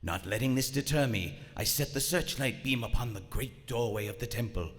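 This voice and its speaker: A man playing a proud German WWI submarine commander slipping into madness, narrating formally and deliberately in a live radio drama. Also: medium pitch, fairly bright tone, close to an everyday voice. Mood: grim, brooding. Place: large theater stage. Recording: live theater stage, long reverb, music underneath.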